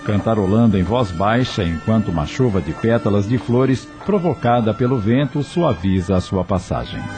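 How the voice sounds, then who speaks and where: A man narrating a radio drama from a script in an expressive, literary storytelling manner. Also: lowish voice, moderate pace, music in the background.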